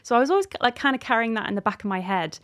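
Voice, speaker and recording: A woman's voice: fairly high pitch, lively intonation, rapid-fire, fairly bright tone. A woman in her thirties, an author chatting casually and animatedly in an interview, in an informal way. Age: 30s.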